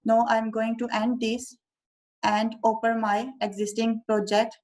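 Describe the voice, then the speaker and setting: Young Urdu-speaking woman, fairly high voice and flat delivery; walks through a software demo step by step. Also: poor audio. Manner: slow, careful step-by-step explaining. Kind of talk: screen-recorded software tutorial.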